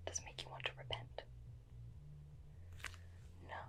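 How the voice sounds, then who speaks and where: A woman playing a chatty, suspicious, inept vampire slayer in a softly whispered ASMR roleplay, recorded close on a binaural microphone. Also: unhurried, close to an everyday voice. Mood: playfully interrogating. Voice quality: soft, breathy whisper. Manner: rambling, confiding, questioning.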